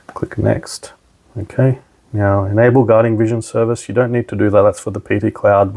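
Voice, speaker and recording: Low, creaky-voiced man giving a tutorial. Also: a little mellow. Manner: informal, step-by-step instructing. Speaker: product trainer, presenter. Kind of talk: casual hands-on tutorial narration.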